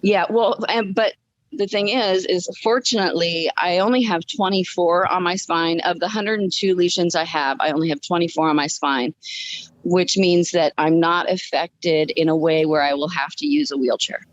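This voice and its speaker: A woman's voice: on the low side, steady tone, moderate pace, highs cut off. A woman guest telling her personal story in a casual, upbeat way during a podcast interview. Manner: upbeat, chuckles as she talks.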